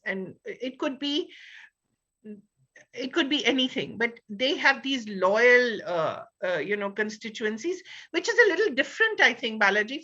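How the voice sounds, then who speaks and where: Bright, high-voiced Indian-accented woman panelist. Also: muffled recording. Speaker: panelist and author. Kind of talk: literary panel discussion.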